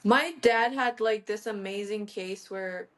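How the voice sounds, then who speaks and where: A woman's voice: mid-range voice, measured pace, a bit bright. A woman in her thirties from Iran, a guest in a casual interview, talking chattily with frequent fillers and self-corrections. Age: 30s.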